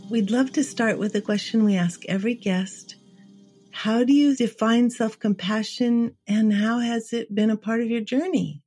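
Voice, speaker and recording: A woman's voice: on the high side, fairly even intonation, moderate pace, a little mellow, husky. A woman hosting an interview, giving a welcoming introduction and putting a question to a guest. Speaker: interview host. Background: music.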